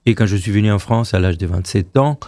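A man in his sixties from Argentina, whose first language is Hebrew, telling stories slowly as a choir director being interviewed, often restarting his phrases. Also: lowish voice, mellow, no room sound. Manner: unhurried storytelling, repeats and restarts phrases. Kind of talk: radio interview.

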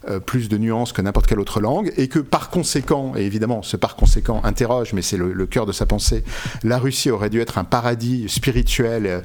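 Man explaining in halting, scholarly speech. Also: fairly low pitch, a little hiss or hum. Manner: erudite, halting explanations with frequent self-corrections. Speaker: interviewed author and literary expert. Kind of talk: unscripted scholarly interview.

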